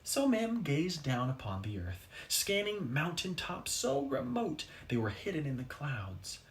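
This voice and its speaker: A person reading a children's storybook aloud expressively, with dramatic pauses and asides to young listeners. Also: medium pitch, wildly swooping pitch. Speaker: storybook reader.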